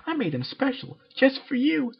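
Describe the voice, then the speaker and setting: Squeaky, hyper girl pony, put on by a man. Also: normal speed, creaky, slightly rough, lo-fi sound, heavily acted. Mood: gleeful. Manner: gushing, excitable falsetto. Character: hyper, bubbly female cartoon pony, childlike and eager.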